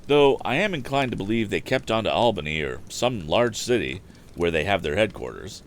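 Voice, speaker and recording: A man voicing a modest, handy young inventor in a storybook, brisk and matter-of-fact. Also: on the low side, sing-song, slight background noise, voice pushed up. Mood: pondering. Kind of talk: storybook character voice.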